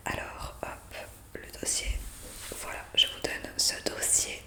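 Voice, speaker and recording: A woman doing an ASMR roleplay in a breathy whisper, explaining things politely and hesitantly, close to the microphone. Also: takes it slowly, sharp tone. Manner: hesitant, improvised explaining with polite formal address. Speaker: ASMR roleplayer playing a zombie intake worker. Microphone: close USB condenser microphone.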